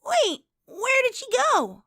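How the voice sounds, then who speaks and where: Cocky cartoon mare, high scratchy voice, wildly swooping pitch, blunt, confident. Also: female voice, wildly swooping pitch, slow pace, pushed and bright, dry recording, exaggerated voice. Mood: puzzled, irritated. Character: brash, confident young female cartoon pegasus pony.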